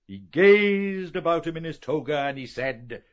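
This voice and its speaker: A man's voice: on the high side, expressive, unhurried, pitched above the performer's own voice. A man doing a declaiming old professor. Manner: oratorical, sweeping rhetoric. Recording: dull lo-fi recording.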